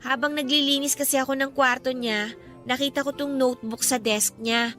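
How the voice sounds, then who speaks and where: A supportive younger sister in a Filipino radio love drama. She is bubbly and girlish, with a very high, bright voice. Music plays lightly behind her. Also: fairly even intonation, normal speed. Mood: affectionate.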